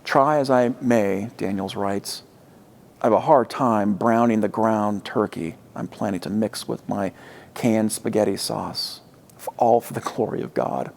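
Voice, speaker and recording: Gravelly-voiced male pastor preaching. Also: fairly low pitch, a little mellow, a little hiss or hum. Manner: measured, deliberate phrasing with emphatic pauses. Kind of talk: sermon with read quotations and reflective pauses.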